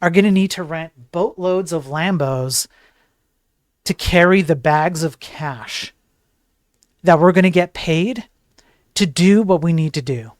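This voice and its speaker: A man's voice: fairly high pitch, unhurried, pauses often, no room sound, clean studio sound. A man giving opinionated tech news commentary on a livestream, emphatic and digressive, tossing in rhetorical questions. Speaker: tech news streamer and programmer.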